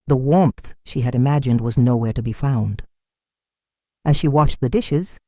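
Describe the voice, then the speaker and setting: A storyteller with a soft, mellow tone narrates a scripted tale in a measured, dramatic way. The pitch moves expressively, and the sound is close and dry. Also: dry recording.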